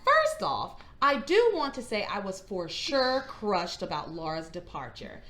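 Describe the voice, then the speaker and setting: A woman's voice: sing-song, measured pace, sharp tone. A woman co-hosting a podcast, chatting casually and reading listener emails aloud. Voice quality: high singing range. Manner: reads emails aloud, breaks into giggles. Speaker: podcast co-host.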